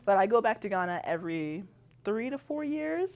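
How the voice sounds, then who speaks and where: A woman's voice: mid-range voice, very animated, moderate pace, muffled recording. A young woman from Canada, a university student, talking as a podcast guest in chatty style and laughing often. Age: young adult. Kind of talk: casual chat.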